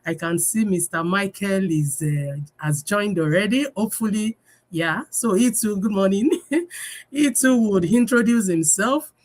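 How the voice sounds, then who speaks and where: Woman host, average pitch, chatty with many fillers, talking over a video call. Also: measured pace. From Nigeria. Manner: warm greetings, many fillers, laughs often. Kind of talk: live-stream business talk.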